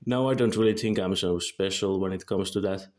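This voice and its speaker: A man's voice: low-pitched, darkish tone. Young Finnish man reading a script. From Finland. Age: early 20s. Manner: careful, measured reading with self-corrections. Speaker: video creator.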